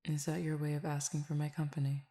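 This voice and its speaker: A languid cupid who feeds on desire, voiced by a woman in an audio roleplay, speaking to the listener in a deep, husky, gravelly murmur. The delivery stays formal and measured, barely rising or falling, with a quiet teasing edge. It is recorded close and dry, with no room sound. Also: flat delivery, no echo.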